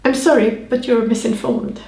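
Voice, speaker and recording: A woman reading aloud as a nervous young city lady who sounds flustered, in a creaky voice and an echoey room. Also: a little high, steady tone, moderate pace, warm tone. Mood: defensive.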